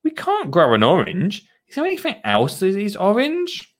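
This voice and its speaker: A man's voice: high-pitched, huge pitch swings, normal speed, pitched above the performer's own voice. A man voicing an eager, chirpy little girl from a storybook in a sing-song, exaggerated way. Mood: curious.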